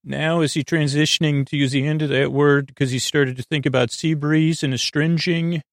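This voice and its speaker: A man hosting a bedtime podcast in a rambling, hesitant monologue, gently reassuring, stammering and circling back as he goes. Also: a little high, fairly even intonation, normal speed, no echo, clean studio sound. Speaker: host of a bedtime sleep podcast.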